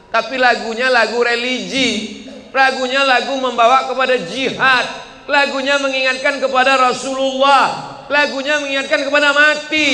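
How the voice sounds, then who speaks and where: Bright-voiced man preaching in an echoey hall. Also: sits high, a little hiss or hum. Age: 40s. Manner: rhetorical, asks the crowd questions, swings between loud and hushed. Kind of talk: lively sermon to a crowd. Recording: echoey hall with faint ambience.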